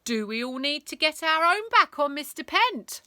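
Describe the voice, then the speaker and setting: A woman's voice: high-pitched, big pitch swings, moderate pace, pushed and bright, dry recording, studio quality, pitched above the performer's own voice, full character voice. A woman voicing a rebellious schoolgirl ringleader in a storybook, urgent and rallying. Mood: urgent, rousing.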